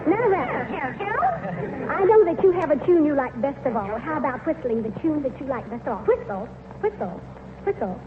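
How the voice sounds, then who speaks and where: A woman's voice: high-pitched, big pitch swings, normal speed. A woman playing an eager bird lady who insistently coaxes her pet to perform, in a 1940s radio comedy style. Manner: insistent, repetitive coaxing. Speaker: guest bird trainer. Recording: very noisy, tinny 1940s radio recording.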